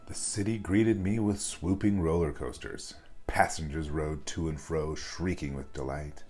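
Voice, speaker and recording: A man with an extremely low, somewhat husky voice reads a children's bedtime story. His delivery is expressive and dramatic, and light music plays behind him. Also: a little slow. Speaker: storybook reader.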